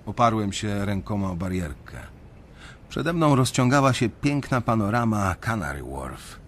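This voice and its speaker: A conscience-stricken young banker in a radio drama. He has a fairly low voice and tells his own story in a measured, brooding way. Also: very animated, a little hiss or hum. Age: young adult. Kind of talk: first-person radio drama narration.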